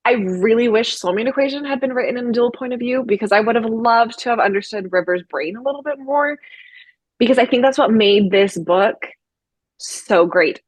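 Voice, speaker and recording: A woman's voice: mid-range voice, slightly rough, dry recording. A woman chatting casually and enthusiastically as a co-host of a book discussion, peppering her talk with "like". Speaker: book review show co-host.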